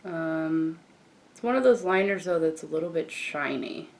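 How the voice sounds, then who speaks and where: A young woman with a croaky morning voice, chatting casually as she walks through a makeup tutorial. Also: deep voice, normal speed. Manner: chatty, relaxed, frequent fillers and restarts. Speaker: beauty vlogger.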